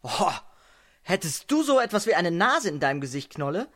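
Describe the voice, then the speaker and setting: A woman's voice: lowish voice, big pitch swings, normal speed, fairly bright tone. A woman playing an eager, curious little male dwarf in a children's radio play, gushing and wide-eyed. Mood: plucky, boastful. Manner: gushing, wide-eyed, indignant when slighted.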